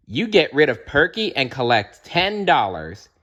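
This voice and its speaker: A man's voice: fairly high pitch, sing-song, measured pace, fairly bright tone, pitched above the performer's own voice, heavily acted. A man voicing a cheeky, blunt little sister in a children's storybook. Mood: teasing.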